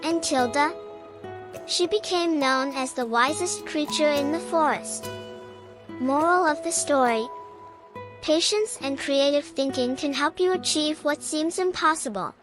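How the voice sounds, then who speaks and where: A woman narrates a bedtime story in a high voice. She takes her time over the scripted lines, with music clearly playing behind her. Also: measured pace.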